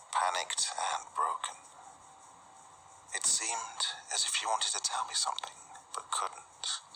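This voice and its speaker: Hushed, breathy low male horror storyteller. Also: low-quality recording. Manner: hushed, deliberate, suspenseful phrasing. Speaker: host and storyteller. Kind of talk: scripted horror story narration.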